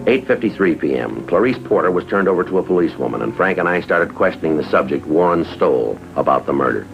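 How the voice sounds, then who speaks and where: A deadpan, low-voiced police detective. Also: male voice, fast, vocal fry, full character voice. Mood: flat, official. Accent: American. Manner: deadpan, matter-of-fact. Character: stoic, businesslike male police detective. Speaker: police detective lieutenant and narrator. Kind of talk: clipped 1950s police drama narration and questioning. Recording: dull vintage TV soundtrack, music behind.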